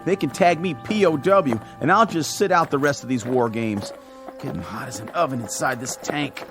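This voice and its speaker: A man's voice: medium pitch, moderate pace, over music, lightly acted. A man playing an earnest, jittery, naive National Guard private in a radio drama. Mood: fed up. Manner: eager, gullible.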